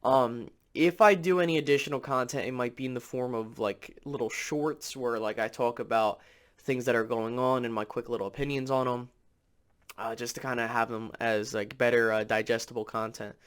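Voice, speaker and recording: A man hosting a casual sports commentary, sounding under the weather with a slightly raspy, nasal voice and rambling as he thinks aloud. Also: mid-range voice, steady tone, normal speed. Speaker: sports channel host.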